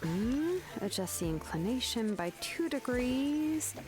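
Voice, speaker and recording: A woman's voice: fairly high pitch, big pitch swings, takes it slowly. A woman playing a composed young craftswoman in a video game, laid-back yet exacting, explaining things casually. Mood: focused. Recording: game music behind the voice.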